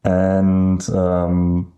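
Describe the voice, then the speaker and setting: A young man from Germany with a German accent, co-hosting a casual podcast and talking hesitantly with many pauses. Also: deep voice, completely flat delivery, mellow, dull audio. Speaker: podcast co-host. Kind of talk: casual chat.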